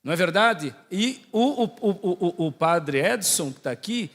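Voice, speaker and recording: High-voiced Brazilian priest in an echoing hall. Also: male voice, lively intonation, measured pace, fairly bright tone. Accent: Santa Catarina Brazilian, close to Portuguese. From Santa Catarina, southern Brazil. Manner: didactic, asks the audience questions and checks in often. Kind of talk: conversational religious talk to a congregation. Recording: echoey hall with long reverb.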